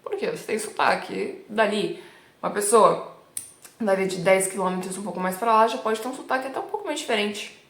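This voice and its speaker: A woman's voice: medium pitch, brisk, vocal fry. Chatty São Paulo woman in a roomy space. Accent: São Paulo Brazilian Portuguese. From Brazil. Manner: chatty and emphatic, frequent "né" and "tipo" tags. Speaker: Italian teacher. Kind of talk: casual teaching vlog. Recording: roomy echo.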